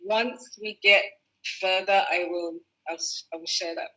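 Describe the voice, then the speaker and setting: A woman's voice: medium pitch, fairly even intonation, unhurried, pushed and bright, poor audio. A woman fashion designer, a guest in a video-call interview, explaining her work thoughtfully with restarts and fillers. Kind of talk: relaxed conversational interview.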